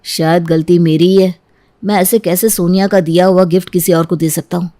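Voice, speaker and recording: A woman voicing an affectionate but jealous and sarcastic young husband in an animated story. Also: on the low side, moderate pace, close to an everyday voice. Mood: muttering to himself. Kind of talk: animated story dialogue in Hindi.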